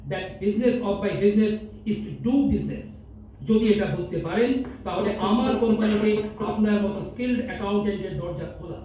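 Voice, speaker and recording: A man's voice: high-pitched, natural voice. A man playing a stern, condescending company boss in an amateur stage audio drama, scolding pompously with English mixed in. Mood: cold warning. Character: stern, condescending male company boss, business-minded and impatient. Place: multipurpose hall stage. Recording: distant echoey hall, dull, faint noise.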